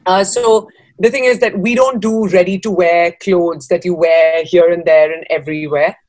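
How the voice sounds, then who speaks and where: Lower-pitched woman in an interview. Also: fairly even intonation, moderate pace, darkish tone, dry recording. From Dubai. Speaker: guest, fashion designer. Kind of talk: conversational interview answers. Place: small room at home. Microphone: video call.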